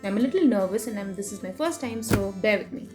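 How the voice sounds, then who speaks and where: Animated woman reading aloud to children. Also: mid-range voice, quick pace, music in the background. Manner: animated, sing-song rhyme reading with playful emphasis. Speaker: children's story reader and host. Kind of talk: expressive read-aloud of a picture book with chatty asides to children.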